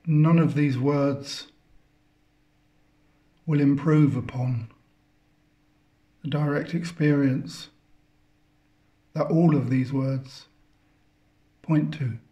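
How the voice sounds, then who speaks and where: A man leading a guided meditation, speaking contemplatively in halting phrases with long pauses between them. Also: medium pitch, steady tone, mellow. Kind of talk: guided meditation, spiritual talk.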